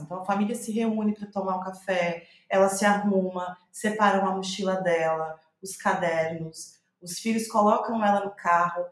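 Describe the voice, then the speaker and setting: A Brazilian woman speaking reflectively as a playwright about her writing, thoughtful and searching for her words. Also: medium pitch. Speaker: playwright, guest. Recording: roomy, echoing sound.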